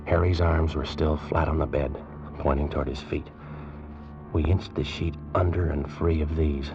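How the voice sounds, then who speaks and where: A man narrating a tense story in the first person in 1940s radio drama style, slow and suspenseful. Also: very deep voice, steady tone. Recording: dull old radio recording with music.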